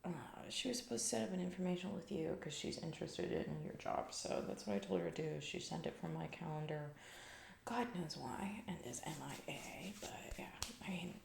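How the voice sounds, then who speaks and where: A woman acting as an entitled, scatterbrained female CEO in an ASMR comedy roleplay. She whispers in a lowish, creaky voice, drifting through flippant, self-absorbed asides. The sound is noticeably roomy. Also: normal speed, slight background noise. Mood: offhand, disdainful. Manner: rambling, flippant, casual corporate drawl with trailing asides.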